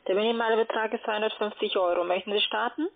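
A woman's voice: mid-range voice, steady tone, fast talker, creaky. A woman making scripted phone sales calls as a call centre agent. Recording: noisy, tinny phone line. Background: background noise on the line.

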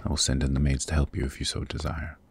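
A man voicing a possessive, protective demon king in a husky, very deep voice, formal and intimate, in an ASMR roleplay. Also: level tone, quick pace, a little mellow, heavily acted. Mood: commanding, caring. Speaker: voice actor.

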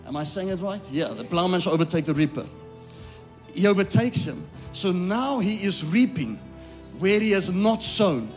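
A man preaching a live sermon to a congregation. He swings from quiet asides to loud, shouted lines, repeats his phrases, checks that the crowd follows, and is losing his voice. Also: high voice, animated, normal speed, faint music behind. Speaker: pastor.

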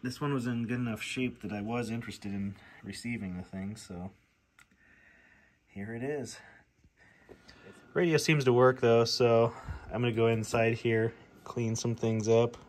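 A man's voice: medium pitch, steady tone, a little slow, pauses often. A man giving a casual, plainspoken hands-on demonstration in an indoor workshop. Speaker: host of a radio repair video. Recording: clear background noise, workshop ambience.

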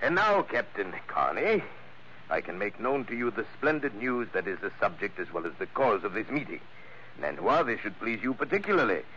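Suave radio villain, bright forceful voice, very animated, pompous courtesy, muffled vintage recording. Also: male voice, on the low side, normal speed. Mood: smugly courteous. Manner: pompous, flowery, chuckling speech. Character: suave, verbose colonel, smooth-talking villain with mock courtesy. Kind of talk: 1940s radio acting. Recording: noisy, dull 1940s radio recording.

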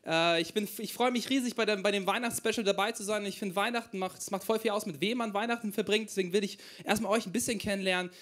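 A young man from Ukraine works a small crowded room with a handheld mic. His voice sits high and he tells self-mocking stories, acting out each quote. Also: steady tone, fast talker, hardly any pauses, fairly bright tone. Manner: chatty, self-mocking, storytelling with acted-out quotes. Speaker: stand-up comedian. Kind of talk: stand-up comedy set.

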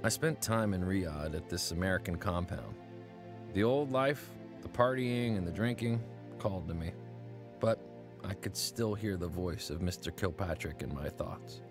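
A man's voice: sits low, moderate pace. A man in his twenties narrating his own story reflectively in a radio drama. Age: 20s. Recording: narration over music.

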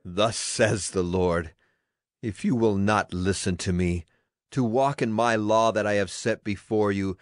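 A man's voice: on the low side, measured pace, no room sound, clean studio sound, lightly acted. A man playing an earnest prophet who declaims stern warnings in a dramatized scripture reading.

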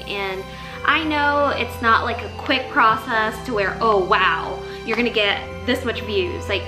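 A woman's voice: fairly high pitch, reverberant, poor audio. A woman in her thirties from the Philippines, with Tagalog as her first language, chatting casually to the camera in her living room and gesturing as she talks. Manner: chatty, expressive hand gestures. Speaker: lifestyle vlogger. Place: living room with Christmas tree.

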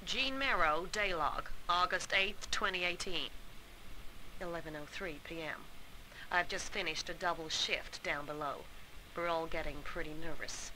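A woman's voice: fairly low pitch, unhurried, sharp tone, slight background noise, rough recording. An actress playing a tired, nervous female worker on a Mars base, recording an audio diary in a video game. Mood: tired, anxious.

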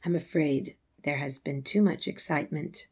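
A woman's voice: low-pitched, normal speed, a little mellow, slightly rough, pitched below the performer's own voice. A woman voicing a stern, disapproving male doctor in a storybook, speaking in a cautioning way. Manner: reproachful, cautioning.

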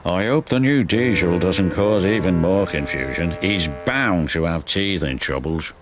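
A man's voice: deep voice, measured pace, music in the background. A man playing a stern, bossy railway controller with an authoritative, scolding manner in a children's storybook. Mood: doubtful.

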